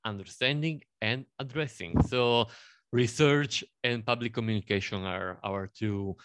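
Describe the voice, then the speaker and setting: A man in his thirties with an Italian accent, introducing himself on a panel in a halting way, with many fillers and restarts. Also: medium pitch, a bit bright, clear, resonant tone, no room sound. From Italy. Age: 30s. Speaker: panel guest.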